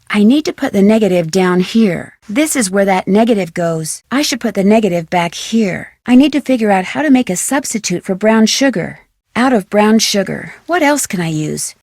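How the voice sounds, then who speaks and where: A woman voicing a bright, inquisitive young American amateur detective in a video game, speaking in a clear, articulate, friendly way. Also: mid-range voice, no room sound, studio quality, natural voice. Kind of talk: video game voice acting, scripted narration.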